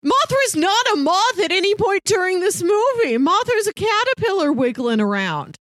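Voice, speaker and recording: A woman's voice: very high-pitched, sing-song, normal speed, fairly bright tone, no room sound, clean studio sound. A woman chatting casually about films as a podcast co-host, playful and wry. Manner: playful, wry, often chuckling as speaking. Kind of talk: casual film discussion chat.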